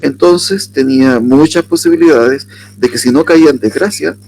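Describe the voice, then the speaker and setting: A man from southern Chile with a Chilean accent, giving an unhurried workshop lecture and asking his audience questions. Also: a little high, darkish tone, a little hiss or hum, low-quality recording. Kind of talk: lecture on surnames.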